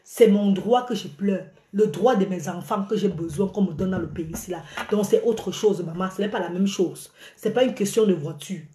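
A woman's voice: medium pitch, moderate pace, hardly any pauses. Woman, middle-aged, exclaiming, phone held at arm's length, echoey room. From Cameroon. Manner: animated and exclaiming, gesturing a lot. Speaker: live-stream vlogger. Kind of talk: live video rant to followers. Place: small indoor room by a curtain.

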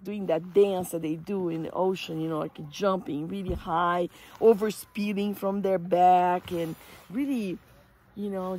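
A middle-aged woman from Brazil with a Brazilian Portuguese accent, telling a personal story in an animated, emphatic way, recorded outdoors on a phone held close. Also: medium pitch, a little hiss or hum. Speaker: hiking guide and empowerment coach. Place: outdoors in desert scrubland at dusk. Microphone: camera or phone at close range.

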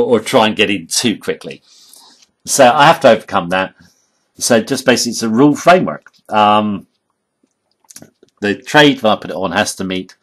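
Husky low-voiced man giving a tutorial. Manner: halting, emphatic, frequent "okay?" check-ins. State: hoarse, losing his voice. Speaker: trading educator. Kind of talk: informal tutorial voice-over.

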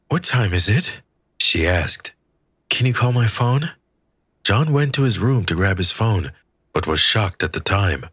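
Deep, husky male audiobook narrator. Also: fairly bright tone, no room sound, studio quality. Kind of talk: scripted fiction narration.

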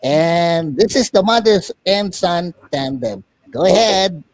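Show host, middle pitch, live online interviewing, announcer-like introductions, hesitant phrasing, video call. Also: expressive, slow, very noisy.

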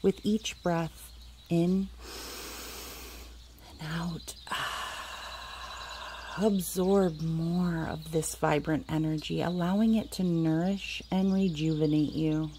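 A woman leading a guided meditation as host, speaking soothingly in short, deliberate phrases with long pauses. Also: lowish voice, steady tone, warm tone, a little hiss or hum. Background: music.

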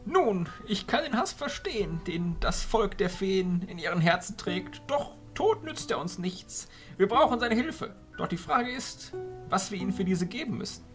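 A man playing an old, courteous illusionist arch-chancellor with a ceremonious, deliberate manner in a fantasy audio drama. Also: high voice, very animated, faint music behind.

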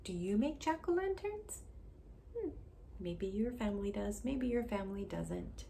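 A woman reads a children's story aloud at an unhurried pace. Her pitch leaps and dips expressively, turning sing-song on sound words and hushed in asides. She addresses young listeners directly, recorded in a room at home with slight background noise. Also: sing-song, vocal fry. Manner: slow, deliberate reading with questions to the listener. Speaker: children's story reader, educator. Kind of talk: interactive read-aloud of a picture book for young children. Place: small indoor room at home.

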